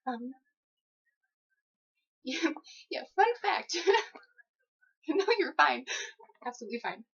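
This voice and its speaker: A woman's voice: high-pitched, animated, takes it slowly, vocal fry, a bit bright, breathy edge. A young adult woman giving conversational answers as a job applicant in a phone interview, with a polite, easygoing, giggly manner. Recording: dull lo-fi phone interview recording.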